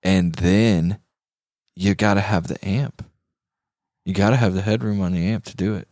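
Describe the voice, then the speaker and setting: A man's voice: sits low, fairly even intonation, normal speed, darkish tone, no echo, studio quality. A man chatting casually as a show co-host, talking in an emphatic, slangy way like a guitar enthusiast. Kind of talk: casual chat.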